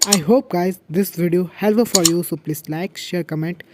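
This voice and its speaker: A man's voice: sits high, moderate pace, background noise. A man hosting a tech tutorial and walking through phone app steps in simple, broken English with repeated prompts.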